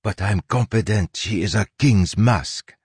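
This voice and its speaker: A man's voice: fairly low pitch, a little slow, darkish tone, husky, no echo, studio quality, full character voice. A man voicing a stern, straightforward sailor woman in an audiobook, with urgent, persuasive delivery. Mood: conspiratorial.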